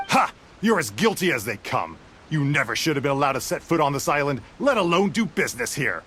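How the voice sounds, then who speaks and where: A man's voice: fairly high pitch, sing-song, a little fast, a bit bright, a little hiss or hum. An actor playing a scornful, suspicious male soldier. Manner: scornful, dismissive. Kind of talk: video game voice acting.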